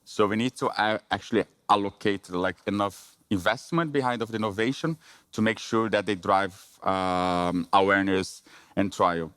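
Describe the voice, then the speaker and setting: Man, Brazilian accent, conference presenter, lowish voice, dry close sound, hesitant with fillers. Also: steady tone, a bit bright, smooth, no echo. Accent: Brazilian Portuguese. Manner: halting, many fillers and restarts.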